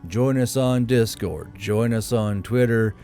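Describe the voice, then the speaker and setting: A man's voice: mid-range voice, unhurried, warm tone, soft background music. A man reading scripted audiobook narration of a story.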